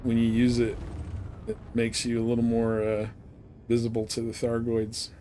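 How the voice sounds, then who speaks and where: A man's voice: medium pitch, monotone, moderate pace, warm tone. A man giving casual, rambling live commentary as he plays a game, often muttering to himself. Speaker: game livestreamer. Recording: clearly noisy background.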